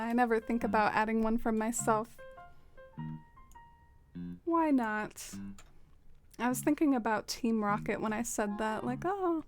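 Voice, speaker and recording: A chatty young woman with a Southern accent rambles and giggles at herself as she streams a game. Her voice sits a little high, and she fills her talk with lots of "like." Soft game music plays faintly behind her. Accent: Southern American. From American South. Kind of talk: casual live-stream chat while gaming.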